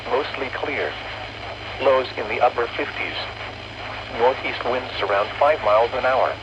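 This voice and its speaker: A computer-generated man's voice reading an automated weather report in an even, measured way. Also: mid-range voice, muffled recording. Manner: even, measured synthesized delivery. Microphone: captured off an over-the-air radio receiver. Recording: hissy distant weather radio reception.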